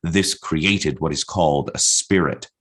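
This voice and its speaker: A man playing a defiant, obsessive poet-prophet, declaiming a blasphemous radio-play monologue with solemn, deliberate insistence. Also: a bass voice, fairly bright tone, husky, heavily acted. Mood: fervent, insistent. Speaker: actor in an adapted radio play.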